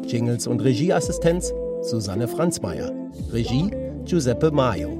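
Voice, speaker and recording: A man's voice: mid-range voice, very animated, a little slow. A man reading scripted credits as the announcer of a children's radio play. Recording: loud music under voice.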